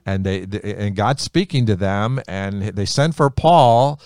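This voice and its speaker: A man who grew up in America, teaching the Bible in a relaxed conversation, animated and emphatic, often repeating phrases for effect. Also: lowish voice, a little slow, smooth, no echo, studio quality.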